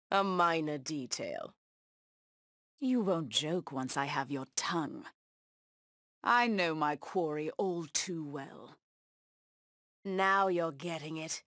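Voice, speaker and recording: An actress voicing a fierce, bloodthirsty feline huntress in a video game, with a menacing, haughty delivery. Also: female voice, on the low side, a bit bright, dry recording, studio quality. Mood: coolly taunting. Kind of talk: video game voice acting, short combat taunts.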